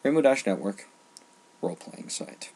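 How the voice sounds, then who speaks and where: A man delivering an informal opinion rant, emphatic and halting, often repeating phrases for stress. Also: medium pitch, animated, a little mellow, slight background noise. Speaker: online ranter.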